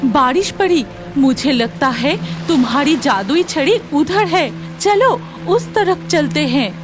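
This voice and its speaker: A woman's voice: high voice, animated, slow, over music. A woman voicing a cautious, observant cloud fairy in a cartoon story, with questioning exclamations. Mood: amazed. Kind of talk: Hindi cartoon story dialogue.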